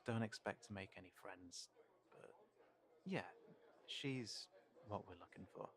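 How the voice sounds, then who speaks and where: A man playing a cautious, protective fantasy character in an intimate audio roleplay, speaking haltingly and thoughtfully as if to one companion. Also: fairly low pitch, close to an everyday voice. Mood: confiding, wry. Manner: hesitant, halting, thoughtful, trailing off.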